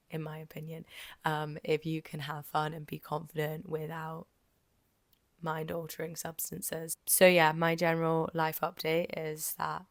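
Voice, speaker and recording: A young woman from Jersey with a slightly croaky voice, hosting her podcast in a candid, chatty way with frequent ums and likes and casual swearing. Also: low voice, dry recording. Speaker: podcast host. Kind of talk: casual solo podcast monologue.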